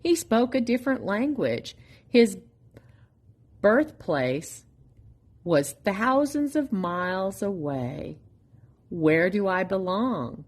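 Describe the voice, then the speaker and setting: A woman reading a children's picture book aloud as a storytime reader, in an expressive, deliberate manner with pauses. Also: on the low side.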